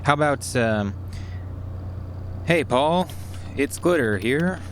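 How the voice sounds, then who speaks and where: Over some background noise comes a sharp male schemer in an audio drama. His voice sits at an ordinary middle pitch. He lays things out conversationally and methodically, like a man thinking through a plan. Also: expressive, slow. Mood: focused, conspiratorial. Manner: explanatory, conversational. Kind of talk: naturalistic audio drama acting.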